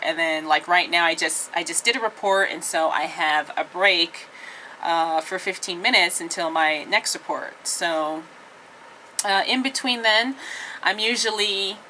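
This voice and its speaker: A young woman who works as a radio traffic reporter, chatting casually in an easygoing, halting way with lots of ums and uhs inside a radio station. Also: lowish voice, sharp tone, poor audio. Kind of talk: casual vlog chat with an on-air traffic read. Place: radio station office and studios, indoors.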